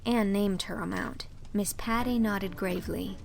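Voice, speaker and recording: A woman reading scripted literary narration as an audiobook narrator, in an expressive storytelling manner. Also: medium pitch, unhurried, creaky, a little hiss or hum, low-quality recording.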